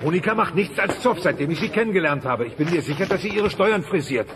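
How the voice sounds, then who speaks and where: A man's voice: sits high, animated, fast talker, noisy background. A man playing a dim, eager-to-please, chauvinist dinosaur family man in a comic radio play, bumbling and naive. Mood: eager, clumsy.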